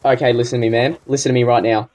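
A man's voice: medium pitch, level tone, rapid-fire, darkish tone, lightly acted. A man voicing a pushy, easily offended rookie phone scammer on a prank call, whiny and wheedling, repeating himself. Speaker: prank caller.

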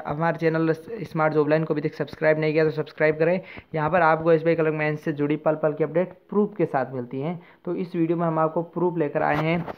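A man with an Indian accent presenting an informal explanatory voice-over to viewers as a channel host. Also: fairly high pitch. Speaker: host of a job-news channel. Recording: muffled phone-like lo-fi sound.

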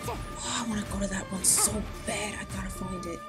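A woman's voice: mid-range voice, a little fast. A young adult woman giving casual commentary as a reaction video host. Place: small room indoors. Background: background music.

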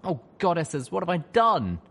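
A man's voice: a little high, very animated, moderate pace, gravelly, a bit bright, pitched above the performer's own voice, full character voice. A man voicing an anguished young female pony who pleads and whimpers in an audiobook story. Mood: despairing.

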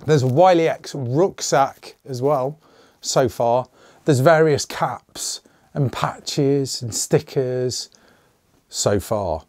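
Middle-aged host, slightly hoarse, chatty and rambling, dry close mic. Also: male voice, medium pitch, slow, darkish tone, no room sound. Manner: chatty, rambling, jokey asides. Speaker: channel host. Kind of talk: casual to-camera channel update. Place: small indoor gun room.

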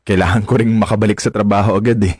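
A man's voice: on the low side, fairly even intonation, quick pace, a little mellow, husky, no echo, clean studio sound. An actor playing an earnest, smitten young man in a radio drama, with a Filipino accent. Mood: friendly, casual. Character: smitten young male stockbroker, fisherman's son, earnest and shy. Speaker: actor and first-person narrator.

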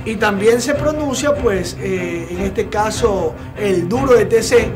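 A young man hosting a TV studio show, giving blunt, opinionated commentary with many filler pauses. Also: sits high. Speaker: TV show host. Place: TV studio set with sofa. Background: background music.

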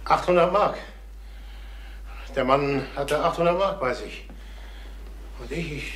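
A man playing a distraught travelling salesman near sixty in a German crime radio drama, halting and repeating himself as he broods. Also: on the high side, a little hiss or hum. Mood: agitated confession. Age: late 50s.

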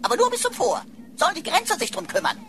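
A woman's voice: very high voice, wildly swooping pitch, fast talker, noisy background, heavily acted. A woman playing a sarcastic talking computer in a radio drama, playful, mocking and theatrical. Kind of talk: German radio drama acting.

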